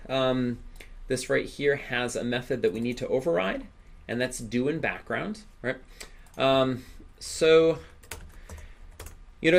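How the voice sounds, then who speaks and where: A man giving an informal coding tutorial as an instructor, speaking haltingly with repeated words and frequent check-in questions. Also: mid-range voice, creaky. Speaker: programming instructor.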